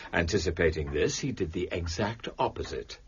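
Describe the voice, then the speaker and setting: An actor playing a shrewd, self-satisfied gentleman detective in an audio drama, precise, wry and theatrical. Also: low-pitched, animated, moderate pace, breathy edge, full character voice. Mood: smug, explanatory. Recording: dull, muffled audio.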